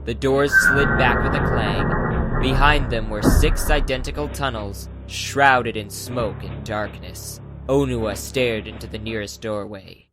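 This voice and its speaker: A man's voice: medium pitch, steady tone, unhurried, low-quality recording. Male audiobook narrator over prominent music. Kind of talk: scripted dramatic audiobook narration.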